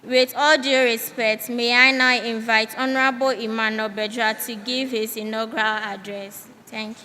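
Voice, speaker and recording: A woman's voice: a little high, flat delivery, normal speed, pushed and bright. A woman, reading a guest's profile aloud as a clerk in a formal, careful way. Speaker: deputy clerk. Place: large hall at a youth parliament. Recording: reverberant hall with faint background noise.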